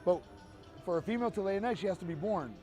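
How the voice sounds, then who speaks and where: A man's voice: high voice, expressive, quick pace, mellow, faint music behind. A man with an earnest, emphatic way of talking, making his case to a passerby outdoors on a city street. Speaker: street activist. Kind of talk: persuasive street conversation.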